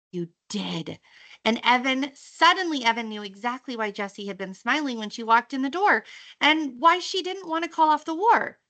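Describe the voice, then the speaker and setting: A woman reading a children's story aloud in an expressive, storytelling style. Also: fairly high pitch. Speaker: teacher reading a book aloud.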